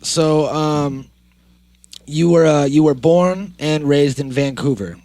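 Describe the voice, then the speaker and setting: A man's voice: fairly high pitch, takes it slowly, mellow, no echo. A man co-hosting a podcast, chatting casually in a rambling, offhand way. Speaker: podcast co-host. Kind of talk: casual chat.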